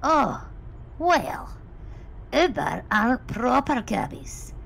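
A woman's voice: sits high, sing-song, takes it slowly, creaky, a bit bright, a little hiss or hum, exaggerated voice. An actress playing a chatty, wry woman taxi driver, gossiping and musing in an audio drama. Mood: matter-of-fact. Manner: gossipy, amused, musing aloud. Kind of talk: audio drama monologue, conversational testimony.

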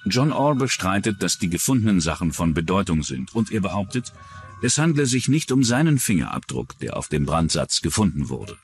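A man's voice: sits low, very animated, brisk, faint music behind. A man reading scripted true-crime documentary narration as the narrator.

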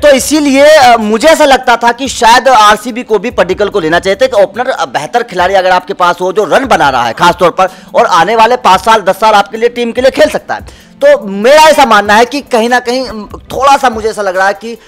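A man in his thirties argues his view as a cricket analyst on a studio panel. His voice runs high, and he builds his case by listing examples, with faint music behind. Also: lively intonation, moderate pace, fairly bright tone. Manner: makes points by listing examples, gestures while talking. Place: indoor TV studio set.

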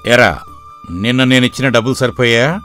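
A man playing a weary, worried but shrewd middle-aged village father in an animated moral story, speaking plainly and earnestly. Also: mid-range voice, normal speed, lightly acted. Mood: reproachful. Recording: background music throughout.

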